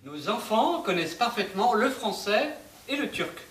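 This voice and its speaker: A man performer giving an expressive, declamatory theatrical recitation on a stage. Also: high voice, normal speed, over loud music. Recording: echoey stage, distant mic, faint hiss.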